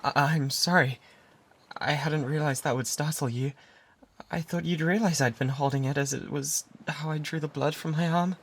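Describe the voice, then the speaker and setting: Breathy British lovesick pirate, acted by a man. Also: fairly high pitch, fairly even intonation, normal speed, close to an everyday voice. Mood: apologetic. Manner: breathy, drifting into whispers, theatrical pleading. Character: lovesick obsessive male pirate, pleading and devoted. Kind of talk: intimate ASMR roleplay acting. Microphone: close binaural microphone. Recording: close binaural recording with background ambience noise.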